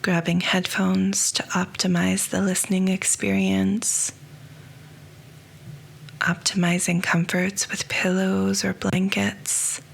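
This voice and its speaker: A woman guiding a yoga nidra meditation, speaking softly and slowly, often close to a whisper. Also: medium pitch, creaky, slight background noise, poor audio. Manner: soft, slow and hushed, often near a whisper. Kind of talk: guided meditation.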